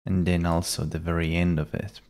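A young adult man explaining software step by step in a measured, instructional way. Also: low-pitched, level tone, creaky. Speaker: tutorial presenter. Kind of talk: step-by-step software tutorial narration. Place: small indoor room at a computer.

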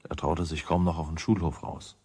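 A man's voice: rumbling low, little pitch movement, brisk, mellow, slight background noise. A man narrating a children's radio play in a scripted storytelling style.

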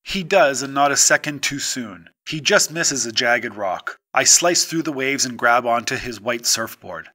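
A man reading a short story aloud as an audiobook narrator, with a measured, dramatic delivery and pauses. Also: mid-range voice, animated, sharp tone.

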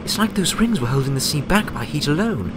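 Man putting on an eager young pony scholar, high-pitched. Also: very animated, brisk, very noisy. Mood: astounded. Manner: eager, earnest, thinking aloud. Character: bright, excitable young female pony scholar. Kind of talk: storybook character voice.

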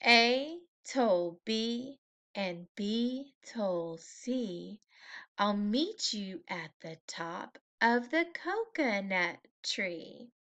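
A woman preschool teacher reading a picture book aloud to young children in a playful, expressive, sing-song manner. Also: medium pitch, drawn-out words. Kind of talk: animated children's story read-aloud.

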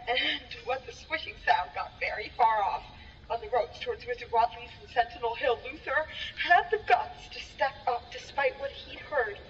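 A woman's voice: fairly high pitch, natural voice. A woman acting a terrified, god-fearing villager in a horror radio drama, stammering and frantic, recorded in an echoey hall. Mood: fearful, urgent. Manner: frantic, stammering, breathless storytelling. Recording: echoey hall from far off, muffled, noisy.

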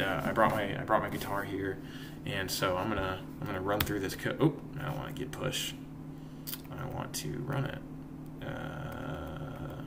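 A young man with a raspy voice, casually walking through code in a home screen-share tutorial, rambling and correcting himself, with guitar notes behind him. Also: deep voice, heavy background noise. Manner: rambling, self-correcting, relaxed. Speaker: coding tutorial host. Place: home room, indoors. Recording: guitar playing in background. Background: guitar plucks.

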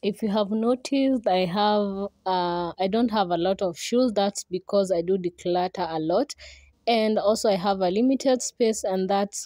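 Young woman vlogger, chatty and viewer-facing, mellow tone, dry close voice-over. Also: mid-range voice, a little slow, dry recording. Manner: friendly, chatty, addresses viewers directly. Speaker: homemaking vlogger. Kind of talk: voice-over narration for a cleaning vlog.

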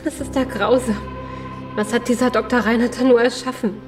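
Survivor role, actress: serious, defiant woman in horror audio drama, grave delivery, clear music underneath. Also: on the high side, fairly even intonation, fairly quick, lightly acted. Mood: appalled. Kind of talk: German audio drama acting.

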